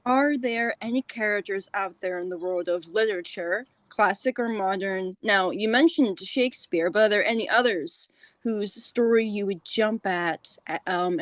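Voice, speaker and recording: A woman's voice: mid-range voice, rough recording. A woman hosting a casual conversational interview, chatty and rambling in the way she talks. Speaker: podcast host and interviewer.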